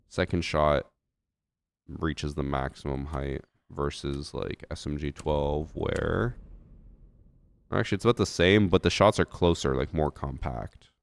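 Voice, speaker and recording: A man's voice: a bass voice, unhurried, creaky. A young man streaming a shooter game, chatting casually with his chat and thinking aloud with fillers, a little stuffy from a head cold.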